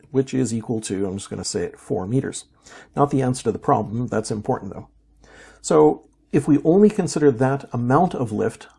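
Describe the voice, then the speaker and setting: A man with a slightly hoarse, raspy voice works through physics problems aloud, thinking as he goes, with many fillers and restarts. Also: mid-range voice, warm tone. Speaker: physics teacher. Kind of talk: unscripted worked-problem walkthrough.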